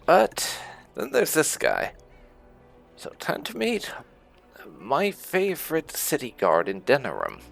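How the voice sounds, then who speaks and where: A man's voice: fairly high pitch, wildly swooping pitch, slow pace, gravelly, faint music behind. A man hosting a casual roleplay game playthrough, musing and thinking aloud as he goes. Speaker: gaming video host.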